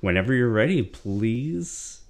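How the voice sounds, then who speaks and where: A man's voice: mid-range voice, expressive, measured pace. A man casually hosting an online read-aloud, speaking conversationally with short encouraging responses to his guest.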